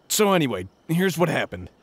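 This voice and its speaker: An actor playing a timid male villager in a video game, nervous and halting in manner. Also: on the high side, a bit bright, slightly rough, dry recording, heavily acted. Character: jittery, timid male villager, robbery victim. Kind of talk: video game character acting.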